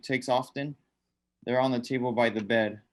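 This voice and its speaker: A menacing old dead man who has come back to tempt the living, acted by a man in a radio play. He speaks in a mid-range pitch, coaxing and commanding, pressing each demand again and again. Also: fairly even intonation, normal speed, close to an everyday voice. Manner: insistent, commanding, repetitive. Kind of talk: radio drama acting.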